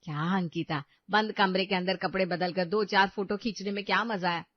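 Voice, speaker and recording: A woman acts out a troubled young wife in a cartoon story, serious and weary, full of questions. Her voice sits at a medium pitch in a dry, slightly muffled recording. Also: steady tone, normal speed, dry recording, lightly acted. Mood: deadpan. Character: troubled, suspicious young married sister-in-law.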